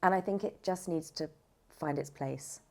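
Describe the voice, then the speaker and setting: A woman with a low-set voice talks casually as a podcast guest. She sounds thoughtful and conversational, working through her opinions as she goes. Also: moderate pace, gravelly, dark tone. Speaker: podcast guest, audiobook narrator and voice actor.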